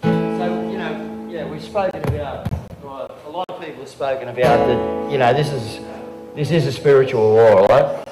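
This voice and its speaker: A man's voice: mid-range voice, normal speed. An Australian man speaking casually on a live stream, rambling and often checking in with "okay?" and "right?" as he talks into a handheld microphone. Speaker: regular guest, amateur songwriter. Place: live venue room. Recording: roomy live room with guitar.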